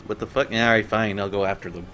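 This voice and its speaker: A man's voice: fairly low pitch, fairly even intonation, quick pace, pushed and bright. A man hosting a game stream with casual, sweary, joking commentary, game music playing behind him. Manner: sweary, mock-quoting. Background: video game music.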